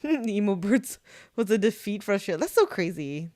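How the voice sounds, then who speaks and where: A woman's voice: medium pitch, big pitch swings, fairly quick, darkish tone, no room sound, studio quality. A young woman chatting casually while streaming a game, giggly and delighted. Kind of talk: casual live-stream chat.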